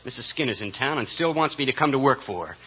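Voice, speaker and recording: Sharp, husky, defiant outlaw cowboy. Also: male voice, mid-range voice, lively intonation, fast talker. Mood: defensive. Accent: American. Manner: curt, defiant. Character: defensive, resentful young ex-convict cowboy. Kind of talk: 1950s Western radio acting. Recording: muffled old radio recording.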